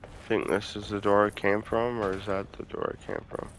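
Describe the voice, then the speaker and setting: A man's voice: fairly low pitch, very animated, moderate pace, vocal fry. A man hosting a gaming video, giving casual commentary as he plays. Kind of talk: casual game playthrough commentary.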